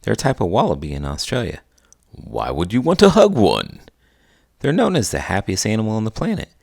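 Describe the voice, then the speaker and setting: A man with a low, creaky voice and a very lively range of pitch. He talks like an elementary teacher chatting with children, explaining things plainly as the host. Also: a little fast. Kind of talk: friendly educational chat for children.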